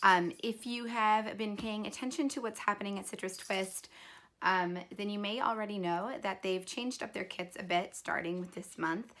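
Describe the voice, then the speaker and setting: A woman narrates a craft unboxing in a casual, chatty way. Her voice sits at a middle pitch and has not fully recovered from a cold. She talks as the host of her own video. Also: steady tone, normal speed, fairly bright tone.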